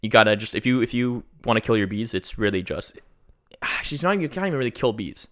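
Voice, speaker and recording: A young man explains his beekeeping in loose, rambling talk, often restarting his sentences. His voice sits a little low, and he swears casually. He sounds slightly sniffly from a runny nose. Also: expressive, fast. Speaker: guest beekeeper. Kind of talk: casual podcast chat.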